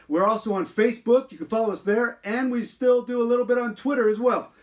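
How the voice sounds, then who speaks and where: Man putting on a high-pitched, halting story-time host. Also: fairly even intonation. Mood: chatty, playful. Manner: halting, filler-heavy asides, earnest reading. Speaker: show host. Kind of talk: story-time presenting, reading aloud.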